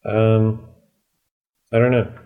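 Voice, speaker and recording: A man's voice: fairly low pitch, flat delivery, slow pace, narrow, tinny audio. Man musing aloud in casual podcast chat. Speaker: podcast co-host playing along with the story.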